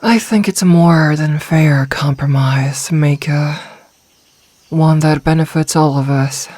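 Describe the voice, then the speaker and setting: Snake-woman, voiced by a woman, gentle, protective, soft-spoken, breathy, slow. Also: sits low. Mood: firm calm. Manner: calm, soft, reassuring, often whispered. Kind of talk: ASMR audio roleplay.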